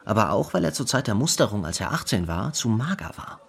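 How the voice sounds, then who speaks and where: A man recalling his famous companion in a radio play. The voice is lowish and crackles with fry, while the melody moves freely in a conversational, storytelling way. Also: sing-song, brisk, a bit bright, heavily acted. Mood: animated, reminiscing. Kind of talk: radio play documentary monologue.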